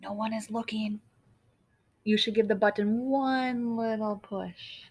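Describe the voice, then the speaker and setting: A woman voicing an excitable, mischievous little male storybook monster, theatrical and exclaiming. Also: medium pitch, slow pace, husky. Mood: tempted, sneaky. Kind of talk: animated children's picture-book read-aloud.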